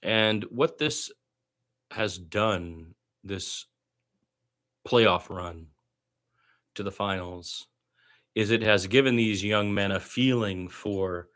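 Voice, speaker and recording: A man's voice: lowish voice, fairly bright tone. A man doing amateur radio-style basketball play-by-play in short clipped phrases, with opinionated asides as a partisan fan.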